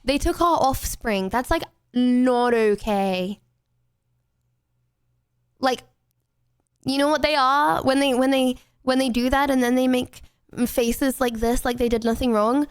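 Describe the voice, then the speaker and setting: High-voiced, excitable British woman streamer. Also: unhurried, long pauses, clear, resonant tone, no room sound, studio quality. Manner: chatty, excitable, playful exclamations. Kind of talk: casual gameplay commentary to chat.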